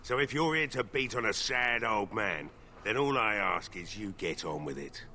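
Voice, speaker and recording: Fantasy game role: stubborn old blacksmith, drunk and despairing, sharp gruff delivery, lowish pitch, light background noise. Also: male voice, animated, normal speed. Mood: bitter, resigned. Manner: gruff, weary, resigned. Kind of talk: video game voice acting.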